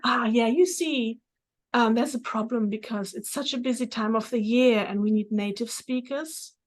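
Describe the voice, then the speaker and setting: Higher-voiced middle-aged woman. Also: normal speed. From Germany, born in Poland. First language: German. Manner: animated, acts out remembered dialogue. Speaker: interview guest, therapist. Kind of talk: casual personal storytelling.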